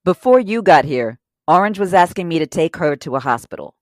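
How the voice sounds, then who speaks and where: Crime audio drama: actress to play hot-tempered but loyal woman robber, blunt and profane, lowish voice, very dry sound. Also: animated, fairly quick, no echo, lightly acted. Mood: defensive. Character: tough, loyal, hot-tempered, guilt-ridden female robber.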